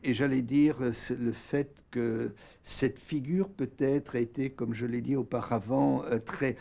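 Creaky-voiced older man explaining. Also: medium pitch, fairly even intonation, a little slow, dark tone. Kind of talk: interview answer, explanatory. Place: small plain room indoors.

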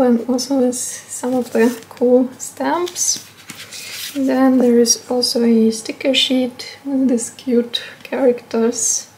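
A woman with a high voice talks slowly through an unboxing vlog. Her delivery is casual and unscripted, with a slightly hesitant show-and-tell manner. Some background noise sits behind her voice. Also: a little mellow, low-quality recording. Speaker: stationery haul vlogger.

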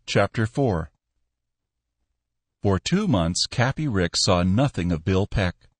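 A man's voice: sits low, lively intonation, slow, no room sound. A man reading a story aloud as a scripted audiobook narration.

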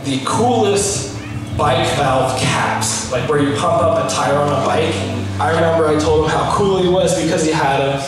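A man's voice: fairly high pitch, normal speed, recorded from a distance. A young man telling an anecdote in a wedding speech as the groom's brother. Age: young adult. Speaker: groom's brother giving a toast. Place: large event tent. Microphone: handheld mic through a PA. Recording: echoey PA, loud music and crowd. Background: music and crowd.

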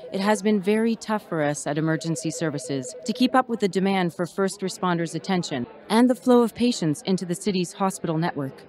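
An actress playing a weary but dutiful emergency management officer giving a formal radio interview. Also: female voice, on the low side, a little mellow, natural voice.

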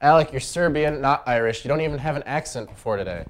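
A man's voice: medium pitch, animated, fast. A teenage male student anchor reading scripted news and banter as the straight man. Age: teens. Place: small indoor school TV studio.

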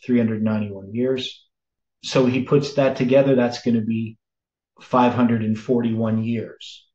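A man in his sixties leading a sermon-style Bible study, explaining slowly and thinking aloud, with frequent self-corrections. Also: mid-range voice, flat delivery, dark tone. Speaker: preacher. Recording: roomy, slightly dull sound.

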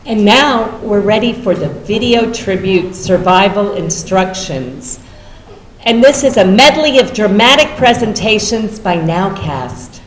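A woman's voice: mid-range voice, lively intonation, measured pace. Middle-aged female presenter, informal, mid-range voice, reverberant room. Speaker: event presenter. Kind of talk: informal announcement to an audience. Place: podium in a lecture hall. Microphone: gooseneck podium microphone. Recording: echoey hall, faint noise.

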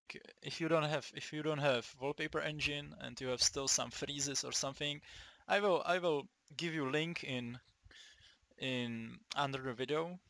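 A young man from the Czech Republic with a Czech accent, giving a casual, halting gaming tutorial, swearing often and restarting his sentences. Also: fairly high pitch, gravelly, fairly bright tone, no echo. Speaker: gaming video maker.

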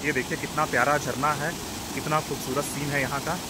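A man's voice: a little high, little pitch movement. Man vlogging beside a roaring waterfall. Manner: addresses viewers as friends, earnest and concerned. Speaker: travel vlogger. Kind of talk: walking travel vlog narration. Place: outdoors at a waterfall and river. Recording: outdoor phone audio with loud waterfall noise. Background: rushing water.

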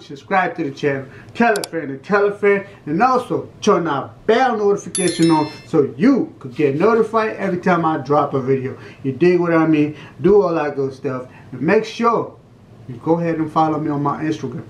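A man's voice: on the high side, slight background noise. Laid-back young man in an echoey room. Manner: laid-back slang, laughing asides. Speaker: YouTube reaction host. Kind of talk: casual reaction commentary. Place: indoor room at a glass table.

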